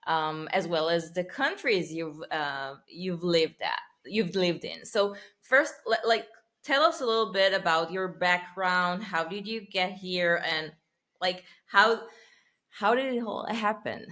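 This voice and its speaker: A woman hosting a podcast, enthusiastic and often searching for her words as she asks her guest questions. Her voice sits a little low. Her tone is sharp and bright. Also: slow pace. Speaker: podcast host. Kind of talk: podcast interview.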